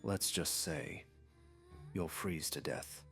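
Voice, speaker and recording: A man's voice: deep voice, fairly even intonation, takes it slowly, creaky, a bit bright, faint music behind, exaggerated voice. A man voicing a gleeful, sadistic godlike game master in an anime audiobook, taunting and mockingly amused. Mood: menacing amusement.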